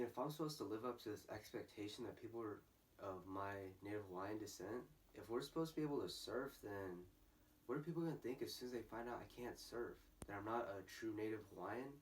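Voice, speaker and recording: A man's voice: on the low side, slight background noise. Teen boy, earnest, distant mic. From California. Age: teens. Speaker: student. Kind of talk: speaking to camera for a school video. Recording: distant mic, faint music behind.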